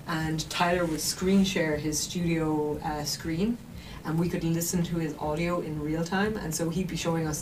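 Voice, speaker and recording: An Irish woman musician telling stories in an interview with enthusiasm and detail, over a video call. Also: low voice. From Ireland. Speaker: musician guest. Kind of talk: interview conversation. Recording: distant mic with background noise.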